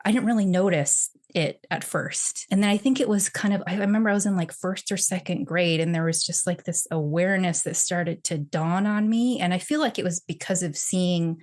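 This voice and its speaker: A woman talks with a lower voice, chatty and easygoing as she tells stories from her childhood. Her speech runs along with lots of fillers and restarts, like a relaxed podcast conversation. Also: moderate pace, few pauses. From Texas, United States. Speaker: podcast guest. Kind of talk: casual conversational interview.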